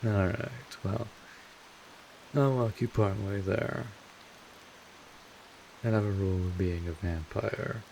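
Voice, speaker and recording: Low, slow-talking streetwise vampire mentor, played by a man. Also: vocal fry, a little mellow, slight background noise, rough recording. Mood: instructive, matter-of-fact. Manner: rambling, informal, mildly exasperated, explanatory. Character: gruff but caring streetwise male vampire mentor. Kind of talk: scripted audio roleplay addressed to the listener.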